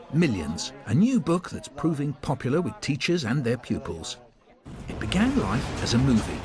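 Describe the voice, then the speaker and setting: Male presenter, animated scripted narration over a faint music bed. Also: a little high. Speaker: programme presenter. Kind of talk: scripted magazine programme narration.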